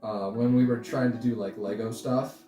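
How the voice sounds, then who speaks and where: A man in his twenties chatting casually as an interview guest, giving halting, rambling answers. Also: medium pitch, steady tone, dark tone, distant mic. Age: 20s. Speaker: interview guest, stop-motion creator. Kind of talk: casual chat. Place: indoor room, sharing the frame with host. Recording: roomy, off-mic, music underneath. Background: guitar music.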